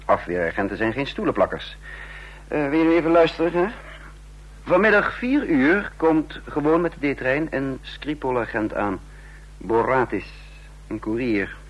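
Guy acting matter-of-fact intelligence chief, radio play, slightly rough voice, businesslike. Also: mid-range voice, expressive, moderate pace, muffled recording, close to an everyday voice. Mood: brisk. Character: self-assured, dry intelligence chief narrating his own spy story.

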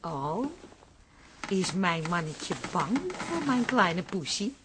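An actress in a radio play playing a doting wife who coos and teases gently and playfully. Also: female voice, lowish voice, animated, noisy background. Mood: sleepy, teasing. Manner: cooing, playful, drawn-out. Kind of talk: radio drama acting.

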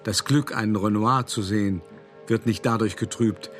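Radio play lead: a man playing an older art dealer, low voice, cultivated raconteur, wry and weary, music behind. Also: fairly even intonation, a bit bright, natural voice. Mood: reflective, rueful. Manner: measured, dramatic, cultivated raconteur. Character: elderly male art dealer recounting his escapes, wry, weary. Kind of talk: radio play monologue, storytelling.

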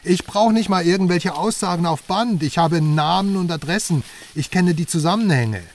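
An actor in a radio drama playing a brash West German investigative journalist, chatty, sardonic and emphatic. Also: male voice, high voice, moderate pace. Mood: eager, sardonic. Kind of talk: naturalistic radio drama dialogue. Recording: slightly distant voice, faint outdoor noise.

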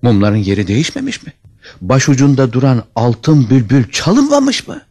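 A man's voice: medium pitch, very animated, normal speed, darkish tone. A man narrating a scripted fairy tale as a storyteller, with dramatic expression.

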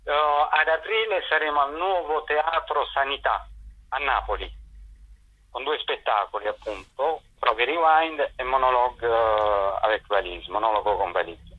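A middle-aged man gives interview answers over a dull, lo-fi radio phone link. His voice sits at an ordinary pitch, and he explains himself haltingly, often pausing to find the word. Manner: hesitant, searching for words, explanatory. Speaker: theatre director and performer. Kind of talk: informal spoken interview answers. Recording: dull lo-fi telephone-radio sound.

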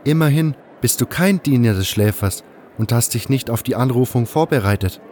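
A man's voice: medium pitch, animated, moderate pace, a little mellow, a little hiss or hum, close to an everyday voice. A man playing an earnest, thoughtful cult disciple talking with a friend in a fantasy audio drama. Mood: earnest, hopeful.

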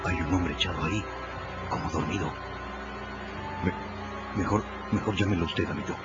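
Deep, timid runaway slave, acted by a man. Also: moderate pace, close to an everyday voice. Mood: wary, hushed. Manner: stammering, pleading, deferential. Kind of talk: radio drama acting. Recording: muffled radio production with music behind.

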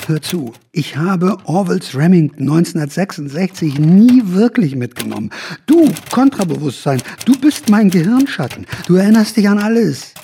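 A man's voice: sits high, very animated, normal speed, gravelly, dark tone, slight background noise, full character voice. A man in a radio drama playing an aging, paranoid, defiant storyteller who rambles with dramatic emphasis. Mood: brooding, defensive. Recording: music behind the voice.

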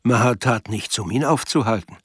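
An observant, wry narrator telling a literary story in a radio play. His voice has a medium pitch and is recorded close and dry, without room sound. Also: moderate pace, fairly bright tone, husky, no room sound.

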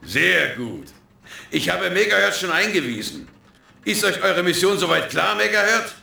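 Scheming hacker boss for radio play: high, very gravelly, smug and commanding, slow theatrical delivery. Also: male voice, very animated, sharp tone, full character voice. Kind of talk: children's radio drama acting. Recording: echoey, with faint noise.

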